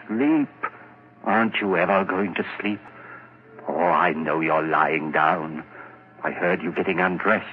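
An actor playing a tense male murderer in a 1940s radio drama, talking to himself in an obsessive, menacing half-whisper. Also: low voice. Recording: muffled old radio broadcast with hiss.

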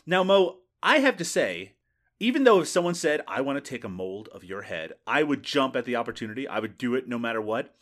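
A man's voice: mid-range voice, sing-song, a little fast, a bit bright. A man co-hosting a casual podcast, reviewing a horror film with wry jokes, swearing and frequent laughs. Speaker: podcast co-host. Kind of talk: casual movie review chat.

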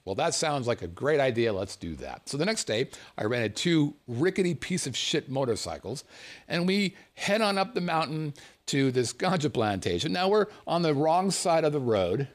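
Middle-aged man, from the United States, comic storyteller, lively swooping delivery, profane and chuckling. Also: fairly high pitch, normal speed. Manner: animated, profane, laughs through his story.

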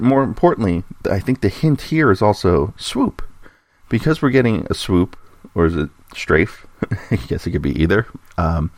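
A man's voice: deep voice, gravelly, no echo, clean studio sound. A man hosting a podcast segment with informal commentary, speculating and thinking aloud as he talks. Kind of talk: informal toy-news commentary.

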